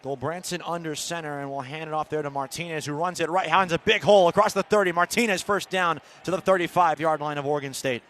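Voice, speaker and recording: Higher-pitched American football radio commentator man. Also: fast, few pauses, fairly bright tone. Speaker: play-by-play radio sports commentator. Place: football stadium broadcast position. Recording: outdoor stadium background noise.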